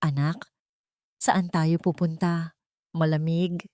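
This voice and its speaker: A woman's voice: sits low, flat delivery, slow, warm tone, dry recording, clean studio sound. A woman voicing a frail, sorrowful elderly mother in an audio story. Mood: weak, anxious.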